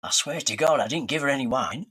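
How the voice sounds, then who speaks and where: An actor playing a placating, wry family man in an audio drama, reasoning and gently teasing. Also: mid-range voice, quick pace, slightly rough.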